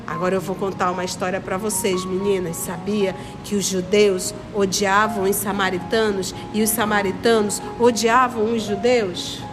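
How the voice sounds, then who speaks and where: A woman's voice: medium pitch, flat delivery, normal speed, hardly any pauses. A woman with a northern Brazilian accent from Manaus teaches a children's lesson, explaining step by step, repeating points and putting questions to her listeners. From Manaus, Amazonas, Brazil. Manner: didactic, repetitive, questioning listeners. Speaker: children's religious study teacher. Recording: long reverberant echo, music behind. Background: clear background music.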